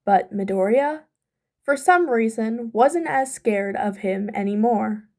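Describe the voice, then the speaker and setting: A woman's voice: fairly high pitch, expressive, slow pace, a little mellow. A woman reading scripted fan fiction aloud as an amateur audiobook narrator.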